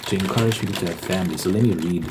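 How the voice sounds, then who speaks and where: A man with a low voice talks in a loose, rambling vlog style. He often repeats phrases to drive them home. There is clear noise behind him. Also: rapid-fire. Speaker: ASMR vlogger. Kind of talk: casual rambling monologue.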